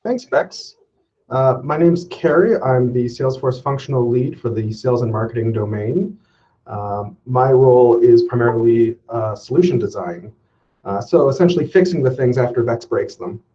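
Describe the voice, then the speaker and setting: A man's voice: mid-range voice, expressive, normal speed, warm tone. Man from Canada, functional lead, hesitant, muffled lo-fi audio. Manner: hesitant, lots of uhs. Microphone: video call. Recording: dull lo-fi call audio.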